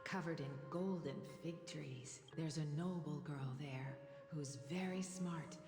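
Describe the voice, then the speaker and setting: A gentle mother from a fantasy video game, telling stories to her child. Her voice is low and soothing, with an unhurried, tender delivery. It rings in a long reverb while music plays clearly behind her. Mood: gentle, wistful. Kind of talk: soft storytelling in game voice acting.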